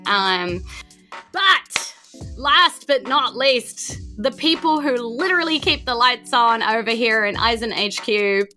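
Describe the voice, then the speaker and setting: A woman's voice: a little high, lively intonation, takes it slowly, bright, forceful tone, faint music behind. A woman with a New Zealand accent, hosting and narrating a tabletop game, giggly and hesitant with many ums. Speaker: game master and host.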